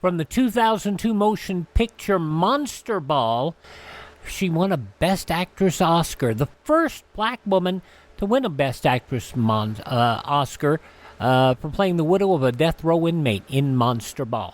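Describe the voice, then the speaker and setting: Lively high-pitched male radio host. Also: unhurried. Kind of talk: scripted radio almanac with casual asides.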